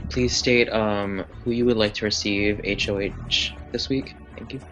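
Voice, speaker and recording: A man's voice: fairly low pitch, fairly even intonation, a little slow, fairly bright tone. A man hosting an online game, politely prompting players to give their votes. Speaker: game host. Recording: music playing behind.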